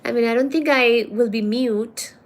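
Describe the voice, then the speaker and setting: A woman's voice: fairly high pitch, fairly even intonation, normal speed. A woman hosting a livestream, chatting casually and teasingly as she reads out viewer comments. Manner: playful and teasing, calls viewers darling. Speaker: livestream host.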